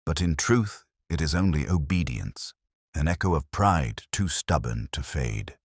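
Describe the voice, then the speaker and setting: A man voicing a calm, philosophical gentleman detective in an audiobook, speaking deliberately and with quiet reason. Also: a bass voice, slightly rough, dry recording, studio quality, heavily acted. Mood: reflective. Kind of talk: audiobook character dialogue.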